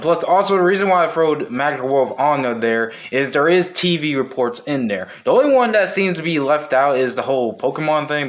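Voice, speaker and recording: A man hosting a casual, unscripted video essay, talking in a rambling way that often backtracks and corrects itself. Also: medium pitch. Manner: rambling, self-correcting, hedging. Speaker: video host.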